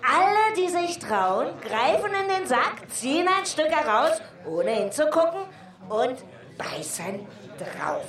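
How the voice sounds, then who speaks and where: A woman's voice: high voice, big pitch swings, a bit bright, exaggerated voice. A woman playing a boisterous, taunting pirate master of ceremonies in a children's radio play, theatrical and teasing. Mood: rousing, gleeful. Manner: theatrical, teasing, drawn-out announcing. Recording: echoey, distant, amid a noisy crowd.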